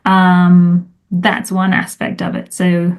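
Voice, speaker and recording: Chatty woman with a low voice. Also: monotone, takes it slowly. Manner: chatty, rambling with many fillers. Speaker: guest, time management coach. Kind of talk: casual interview conversation.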